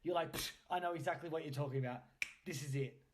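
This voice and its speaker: A man's voice: a little high, quick pace, noisy background. Casual male podcast host. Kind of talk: casual chat interview.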